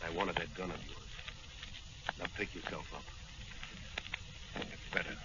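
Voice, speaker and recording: Low, tough radio hero. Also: male voice, fairly even intonation, a little slow, muffled recording. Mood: stern, commanding. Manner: terse, commanding. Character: tough, commanding masked crime-fighting hero. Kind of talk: 1940s radio acting. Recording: noisy, band-limited old-time radio recording.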